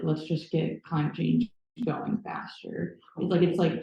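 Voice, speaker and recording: Low-voiced young woman in an echoey hall. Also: creaky. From Nebraska. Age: young adult. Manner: thoughtful, filler-heavy, with a dry sarcastic edge. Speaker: panelist. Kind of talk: panel discussion. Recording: echoey room, dull sound.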